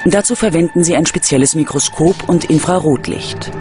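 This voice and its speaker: A man narrating a scripted true-crime documentary in a dramatic, measured delivery. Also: a little high. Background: background music.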